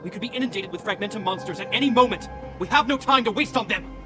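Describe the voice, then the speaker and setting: An actor playing a dutiful, stern young guard captain in a video game, speaking in a formal, commanding, earnest way. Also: male voice, high-pitched, fast, close to an everyday voice. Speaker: military captain. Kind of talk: dramatic video game voice acting. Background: game music.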